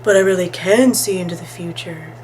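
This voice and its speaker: A woman's voice: on the low side, fairly quick, darkish tone, close to an everyday voice, rough recording. Kid detective, playful and sceptical, voiced by a woman, storybook read with slight background noise. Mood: teasing. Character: Ricardo, playful doubting boy detective.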